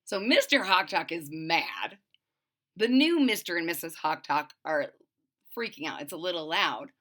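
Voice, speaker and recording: Lady doing kids storytime, super expressive, slow and bright. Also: on the low side. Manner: expressive, pausing to recap the story simply. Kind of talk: animated read-aloud of a picture book for children.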